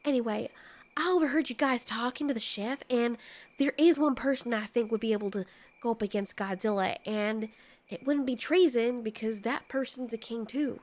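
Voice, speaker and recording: A woman's voice: on the high side, normal speed, darkish tone, close to an everyday voice. Woman playing a bashful, flirty female kaiju. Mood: chatty, confiding. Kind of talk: amateur audio story acting.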